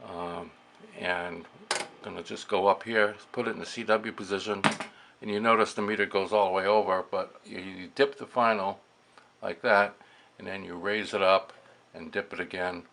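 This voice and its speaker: Low-voiced man, halting, with noisy background. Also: fairly even intonation, fairly bright tone. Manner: halting, muttering to himself while working. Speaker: amateur radio operator demonstrating vintage gear. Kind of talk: casual hands-on demonstration. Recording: clearly noisy room recording.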